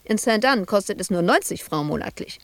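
A woman's voice: medium pitch, lively intonation, brisk, no echo, natural voice. An actress playing a bitter, wounded woman sculptor shut away in an asylum, in a reproachful, volatile radio drama monologue. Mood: reproachful, hurt. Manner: reproachful, volatile, dropping at times to hushed murmurs.

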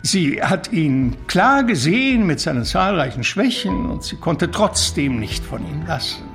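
A man's voice: on the high side, big pitch swings, unhurried, vocal fry, fairly bright tone, soft background music, full character voice. An actor playing an urbane, talkative and evasive male confidant in a radio drama, with mannered, eloquent phrasing. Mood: confiding. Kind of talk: German radio drama acting.

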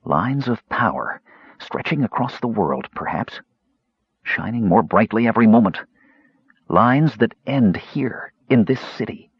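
Man acting an intense, husky, secretive mage. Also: lowish voice, expressive, no echo, highs cut off, full character voice. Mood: quietly intense. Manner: quiet, deliberate, intense. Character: terse intense male mage, secretive and imperious.

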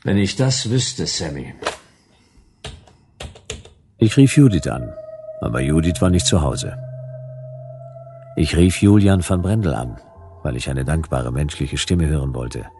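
A man playing a world-weary, dogged private detective in a sci-fi radio drama, with a husky, brooding, terse and wry delivery. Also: extremely low pitch, very animated, unhurried, pauses often, warm tone, soft background music, full character voice. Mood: weary narration. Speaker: lead actor narrating and playing a detective. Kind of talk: German radio drama acting, first-person noir narration.

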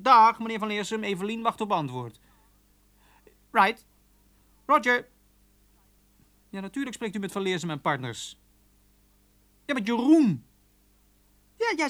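A man's voice: high-pitched, bright, forceful tone, heavily acted. Breezy young male office telephonist. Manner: chuckling, breezy, familiar. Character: chatty, cheeky young male office switchboard operator. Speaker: actor in a radio play. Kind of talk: naturalistic Dutch radio drama acting.

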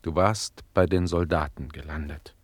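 A genteel master questions his servant in a radio play. The voice is very deep, recorded dry with no room around it, and sounds inquisitive. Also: fairly even intonation, moderate pace, no echo. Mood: curious, prompting. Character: curious gentleman master prompting his servant.

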